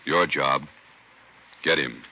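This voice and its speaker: An American man announcing a 1950s radio drama in a solemn, terse, deliberate and dramatic style. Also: rumbling low, heavy background noise. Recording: dull old radio recording, loud brass music.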